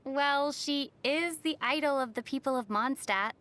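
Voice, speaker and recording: A young female traveler, the heroine of a video game. The voice is high and youthful, with a measured, playful delivery and a wry, gently teasing temper. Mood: wry, explaining.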